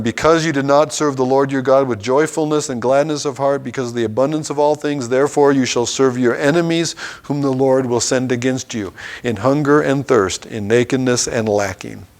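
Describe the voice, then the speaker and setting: A man teaching a Bible class, reading scripture passages aloud and stopping to explain them in an everyday way. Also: mid-range voice.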